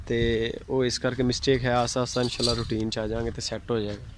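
A man with a Pakistani Punjabi accent walks through his cattle pens, talking in a plain, easygoing way with frequent religious phrases. His voice sits in a middle register. It is recorded outdoors on a handheld phone, with clear background noise. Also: mid-range voice. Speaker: cattle farm owner. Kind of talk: casual farm tour vlog.

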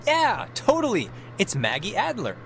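A young American man playing a goofy, excitable, rambling teenage stoner in a video game. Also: high voice, moderate pace, gravelly, a little hiss or hum, exaggerated voice. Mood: excited. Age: young adult. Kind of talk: naturalistic video game dialogue. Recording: music behind the voice.

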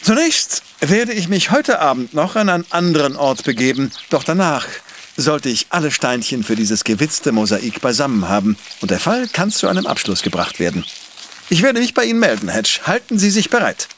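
An actor playing an arrogant, brilliant professor detective in an audio drama, speaking in a pompous, precise, lecturing way. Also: male voice, on the high side, sing-song, moderate pace, a bit bright, a little hiss or hum. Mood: confident, secretive. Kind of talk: German audio drama acting.

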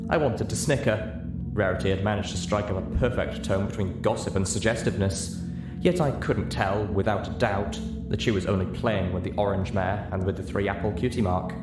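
A man's voice: fairly low pitch, normal speed. A man reading a scripted story aloud as an audiobook narrator, in a roomy recording. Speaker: audiobook reader. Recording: roomy echo, music behind. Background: music.

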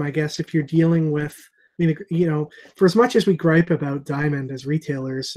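Rambling bearded comic shop host. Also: male voice, fairly high pitch, darkish tone. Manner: rambling, thinks aloud with many ums. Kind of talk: casual video call chat. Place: small room with shelves of comics behind. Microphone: earbud headset on a video call.

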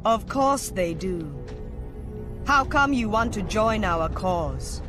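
A woman's voice: mid-range voice, expressive. Commanding female revolutionary leader. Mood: proud, guarded. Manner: deliberate, rhetorical. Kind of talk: video game cutscene acting. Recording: loud music behind.

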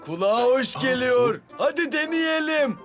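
A man voicing a playful young construction vehicle in a dubbed children's cartoon, eager but quick to complain. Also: very high voice, animated, a bit bright, faint music behind, exaggerated voice. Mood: curious.

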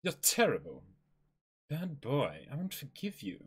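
A man's voice: mid-range voice, wildly swooping pitch, a little slow, husky. A man in his twenties, playful and exclamatory, doing a dramatic reading with casual banter as a co-host. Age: 20s. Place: indoor room, seated.

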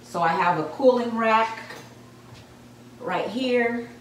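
A woman's voice: on the high side, slow pace, slight background noise. Chatty woman explaining recipe in echoey kitchen. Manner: chatty, explains steps to viewers. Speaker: home baker, channel host. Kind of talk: casual cooking demonstration. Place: home kitchen, indoors. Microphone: camera on the counter, at arm's length.

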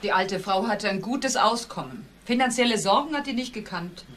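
A woman's voice: medium pitch, expressive, moderate pace, a bit bright, slight background noise, close to an everyday voice. An actress playing a composed married woman giving plain, informative testimony in a German crime radio drama. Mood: factual, reserved.

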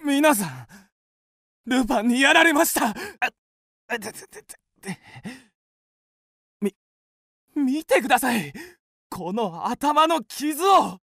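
Husky high-voiced gentleman passenger, stammering and protesting, radio drama, panicky swoops. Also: big pitch swings, takes it slowly, pauses often, dry recording, exaggerated voice. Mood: shaken, earnest. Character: indignant, panicking young gentleman passenger wrongly accused.

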